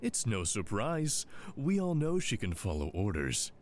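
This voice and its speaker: A man's voice: on the low side, huge pitch swings, measured pace, a little mellow, a little hiss or hum. A man acting in an audio drama as a smug, condescending squad officer who taunts with mock sweetness. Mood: smug, taunting.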